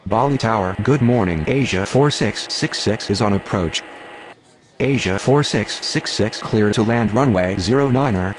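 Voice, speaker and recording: Against steady engine noise, a man acts a calm male air traffic voice on the low side. He delivers scripted aviation calls in a clipped, matter-of-fact way, as in a simulation game. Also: normal speed, natural voice. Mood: calm, procedural. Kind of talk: scripted aviation radio phraseology.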